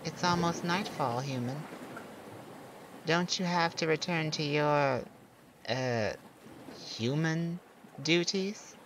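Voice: mid-range voice, slow, long pauses, close to an everyday voice. A performer voicing a proud, lonely male siren in a scripted ASMR roleplay, speaking theatrically and teasingly to one listener. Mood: wistful. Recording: steady background noise of beach ambience. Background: beach and ocean sounds.

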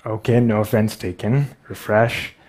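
A man's voice: on the low side, steady tone, a little slow, a little mellow. A man in his thirties with a Pakistani accent, giving a stage talk with wry, self-deprecating humor, on a headset mic in a large theater. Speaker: speaker.

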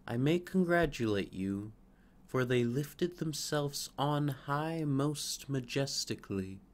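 A man voicing a well-travelled male stork who speaks in a measured, stately way. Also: mid-range voice, animated. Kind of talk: storybook reading.

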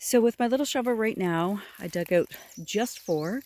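Middle-aged woman narrating a foraging walk outdoors; easy, admiring delivery, phone mic, birds in the background. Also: mid-range voice. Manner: gentle, admiring, unhurried explaining. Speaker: forager showing her land. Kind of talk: casual outdoor how-to narration. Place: spring woodland outdoors by a river. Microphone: phone camera held at arm's length.

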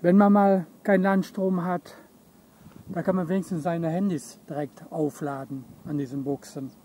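A man's voice: on the high side, fairly even intonation, moderate pace, mellow, slight background noise. A middle-aged man co-hosting a camping vlog, casually explaining a product outdoors. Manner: explanatory, chatty, gestures while talking. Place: outdoors on a campsite lawn beside a camper van. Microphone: camera mic from a few meters.

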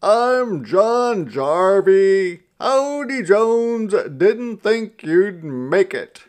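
Storybook Scottish frontier host, acted by a male reader: booming, hearty, pitched well up. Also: sing-song, drawn-out words, a little mellow, heavily acted. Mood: hearty welcome. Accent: Scottish, put on.

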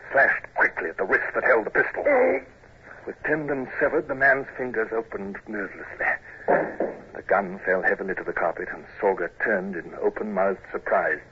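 An actor playing a proud, awkward British naval commodore in a radio drama, speaking formally with clipped, sometimes stammering delivery. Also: male voice, lowish voice, lightly acted. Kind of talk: 1950s radio drama acting and narration. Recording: muffled, noisy 1950s radio recording.

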